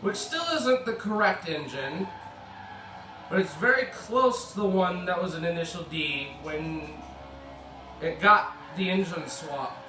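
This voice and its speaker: A slightly sick American man chats loosely through his gameplay, correcting himself as he goes. His voice sits a touch high for a man and carries the echo of a bare room. He is a little away from the mic, with faint music underneath. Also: unhurried, distant mic. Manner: chatty, rambling, corrects himself. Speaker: gaming video creator. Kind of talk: casual gameplay commentary.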